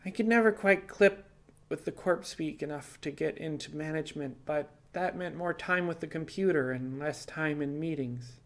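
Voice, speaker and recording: A man's voice: fairly high pitch, moderate pace, voice pushed up, lightly acted. A man voicing a young female rabbit fugitive in audio fiction, resigned and apologetic, talking in a plainspoken, hesitant, confessional way. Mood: wistful. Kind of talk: audio fiction character dialogue.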